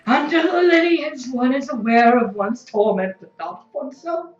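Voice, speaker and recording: A woman's voice: high voice, lively intonation, normal speed. A woman acting as a solemn former slave turned mystic orator in an audio drama. The speech is stilted, dreamy and ponderous. It echoes, with a dull sound and faint music. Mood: brooding, intense. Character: solemn former slave speaking in philosophical metaphor.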